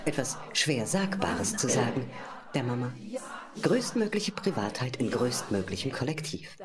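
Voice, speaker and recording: A radio play narrator with a very deep voice and hugely varied intonation. She talks to the listener in emphatic, repeating fragments, with other voices audible behind her. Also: very animated, a little slow, a crowd in the background, exaggerated voice. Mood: reflective, emphatic.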